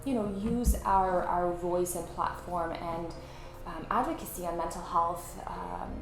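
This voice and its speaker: A woman's voice: lowish voice, fairly even intonation, unhurried, creaky, music in the background. A woman from Iran, a journalist, talking as a guest in her home, thoughtful, with many "you know" and "um" fillers. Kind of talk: casual interview conversation. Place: home flat, indoors. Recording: echoey room with faint noise.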